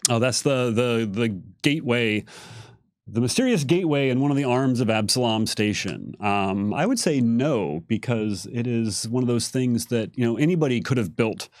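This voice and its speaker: A man's voice: medium pitch, normal speed, no room sound, studio quality. A man giving careful, casual explanations as a game developer on a livestream Q&A. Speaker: game setting developer on a Q&A panel.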